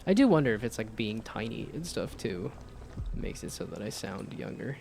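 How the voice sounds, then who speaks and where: A man's voice: fairly low pitch, very animated, normal speed, creaky, darkish tone, a little hiss or hum. A young-sounding man with a slightly nasal voice, chatting casually and rambling while he streams a game. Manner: laid-back, rambling with restarts. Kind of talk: casual gameplay chat.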